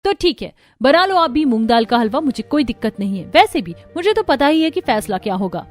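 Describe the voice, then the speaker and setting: Cartoon family story: female actor as jealous young daughter-in-law, high voice, emphatic and combative, over faint music. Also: sing-song, fairly quick. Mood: boastful, challenging. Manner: emphatic, argumentative. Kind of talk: animated family story voice acting.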